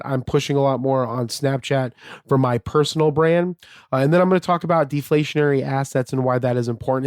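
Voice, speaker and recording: Slightly raspy man rambling on a podcast. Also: mid-range voice, darkish tone, no room sound, clean studio sound. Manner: rambling, many fillers and restarts. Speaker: podcast host. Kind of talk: casual solo podcast monologue.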